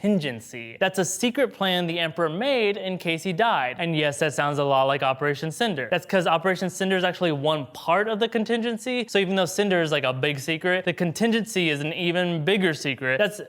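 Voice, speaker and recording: A man's voice: sits high, animated, fairly quick, hardly any pauses, a bit bright. A man hosts a casual video breakdown of a game. He talks in a chatty way and is keen on the small details of trivia. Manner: chatty, enthusiastic about trivia. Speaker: video host.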